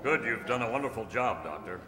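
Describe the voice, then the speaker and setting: A man playing a calm, upright masked cowboy hero with measured, formal delivery, in old-time radio style on stage. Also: medium pitch, close to an everyday voice. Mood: steady. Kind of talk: old-time radio drama acting read from script. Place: stage in a community hall. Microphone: stand microphone. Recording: echoey hall, humming background noise.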